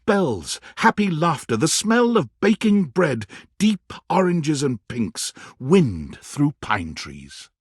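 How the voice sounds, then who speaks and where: A man giving scripted, theatrical narration for a game, telling the story in an expressive, dramatic way. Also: fairly high pitch, slow, hardly any pauses, breathy edge, no echo, clean studio sound.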